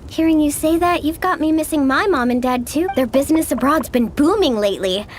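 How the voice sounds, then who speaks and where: A woman's voice: high-pitched, lively intonation, brisk, a little hiss or hum. A woman voicing a bubbly, big-hearted, party-loving young girl in an anime-style game, breezy and playfully bossy. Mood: sincere. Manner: breezy, playful, take-charge.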